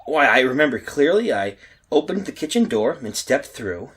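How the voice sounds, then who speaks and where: Stammering, bewildered man, highly animated radio drama. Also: moderate pace, slight background noise. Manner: stammering, pleading. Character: panicked, bewildered family man lost in a nightmare world. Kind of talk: 1930s-style radio drama acting.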